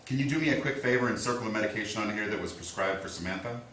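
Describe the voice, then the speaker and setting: A man acting in a staged reading as a steady, apologetic small-town lawman, speaking in a measured, reassuring way. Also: fairly low pitch, close to an everyday voice. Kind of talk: staged play reading, naturalistic. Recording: echoey room, distant mic, faint hiss.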